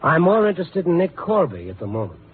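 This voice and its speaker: A tough, steady reporter in old-time radio drama. His delivery is dry and clipped, and the sound is narrow and boxy like an old broadcast. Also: fairly high pitch, fast, a little hiss or hum. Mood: terse, pressing. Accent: American. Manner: clipped, dry, hard-boiled. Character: tough, steady newspaper reporter working the night beat. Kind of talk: 1950s radio drama narration and dialogue.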